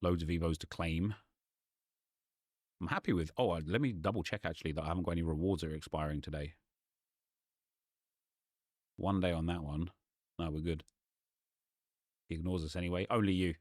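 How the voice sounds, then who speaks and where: A young man, a gaming YouTuber, chatting and ranting casually in a slightly hoarse voice. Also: sits low, fairly even intonation, a little mellow, no room sound, clean studio sound. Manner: chatty, ranting, quick asides.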